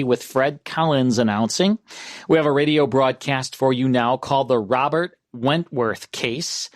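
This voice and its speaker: A man's voice: mid-range voice, dry recording. Male radio host, dry close sound. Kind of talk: conversational radio hosting.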